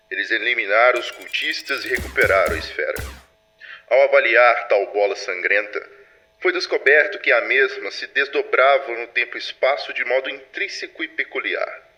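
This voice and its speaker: A man playing a grave superintendent of a secret paranormal patrol, dictating case files formally and deliberately in an audio drama. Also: on the low side, bright, forceful tone, poor audio. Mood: grave, ominous. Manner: solemn, formal, deliberate storytelling. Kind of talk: scripted audio drama narration.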